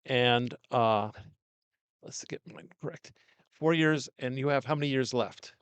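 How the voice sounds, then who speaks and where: An older man hosting a casual interview in a small studio, talking loudly and coaxingly into a directional mic. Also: mid-range voice, measured pace, smooth, no room sound. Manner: loud, coaxing, chatty. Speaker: host.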